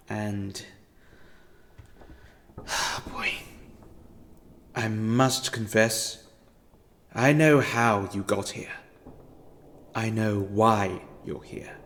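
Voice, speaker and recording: A man's voice: medium pitch, a bit bright. An actor playing a scheming, theatrical male villain in a fan audio drama, talking to a captive in a grandiose, mock-apologetic monologue.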